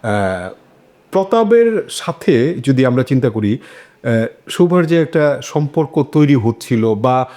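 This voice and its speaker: Medium-pitched male discussion host. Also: sing-song, slow pace, warm tone. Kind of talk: conversational panel discussion.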